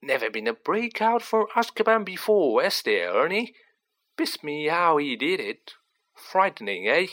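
A storyteller voicing a gossipy, excitable young working-class man who talks colloquially and dramatically, in an audiobook reading. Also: mid-range voice, unhurried, voice pushed up. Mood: awed, gossipy. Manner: colloquial, dramatic, dropped grammar, tag questions.

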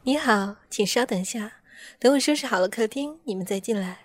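A woman acting a brisk, tidy female surgeon in an audio drama. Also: a little high, lively intonation, no echo. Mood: polite, teasing. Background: music with guitar.

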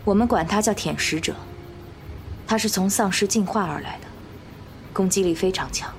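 An actress playing a guarded fugitive woman, an escaped bioweapon, speaking gravely and in a measured way in a radio drama. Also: mid-range voice, slight background noise, lightly acted. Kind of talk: dubbed Chinese radio drama acting. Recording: music bed under the voice.

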